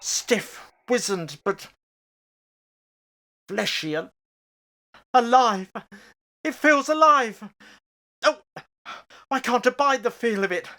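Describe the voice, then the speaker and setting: A man playing an anxious, excitable older father in an amateur radio horror play, with a theatrical, halting delivery. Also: high-pitched, heavily acted.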